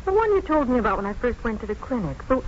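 An actress playing a devoted, emotional but resolute doctor's wife in a 1950s radio drama, earnest and theatrical. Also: female voice, on the high side, sing-song, quick pace. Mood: fragile, emotional. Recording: muffled, noisy 1950s radio recording.

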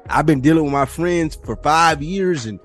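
A man's voice: fairly high pitch, normal speed, soft background music. A man hosting a casual podcast, talking in an emphatic, slangy way and acting out quoted thoughts.

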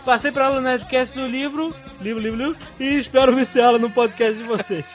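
A man's voice: extremely high pitch, steady tone, a little fast, faint music behind. A Brazilian man co-hosting a casual comedy podcast, jokey and exclamatory, chatting and reading out listener mail. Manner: jokey, exclamatory, prone to laughing. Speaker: podcast co-host.

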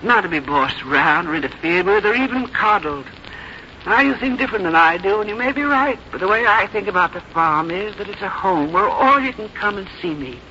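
A woman's voice: medium pitch, pushed and bright. An actress playing a plain-spoken, strong-willed mother in her seventies in a 1950s radio drama, with a folksy, firm way of talking. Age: 70s. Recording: dull, noisy old radio broadcast recording.

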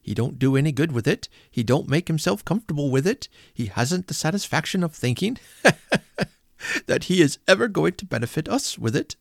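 A man's voice: fairly high pitch, very animated, normal speed, vocal fry, dry recording, studio quality, voice pushed up, full character voice. A man voicing a cheerful, good-natured young man who laughs heartily, in a storybook reading. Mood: good-humoured. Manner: jovial, laughing.

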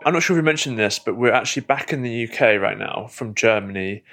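A man's voice: mid-range voice, lively intonation, fast, a bit bright, a little hiss or hum. A man co-hosting a podcast, chatting casually and teasing his co-host. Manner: teasing, playful. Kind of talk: casual chat.

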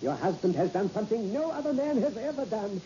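Formal, animated mission controller. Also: male voice, high voice, fast. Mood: earnest awe. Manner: formal, commanding, grandly declamatory. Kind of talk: old-time radio drama acting. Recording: dull, noisy old radio recording.

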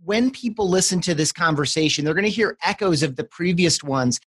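A man's voice: a little high, animated, fairly quick, fairly bright tone, breathy edge, no echo, studio quality. A man hosting a podcast interview in an articulate, encouraging, conversational way. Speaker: guest podcast host, interviewer.

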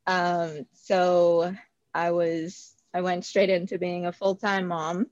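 Woman, slow and hesitant. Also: lowish voice, level tone, a little mellow, no room sound, lo-fi sound. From Bahamas. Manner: hesitant, many fillers, laughs often. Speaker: guest. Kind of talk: casual interview.

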